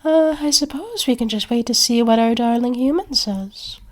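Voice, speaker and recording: A woman's voice: on the high side, normal speed. A woman performing an acted ASMR roleplay.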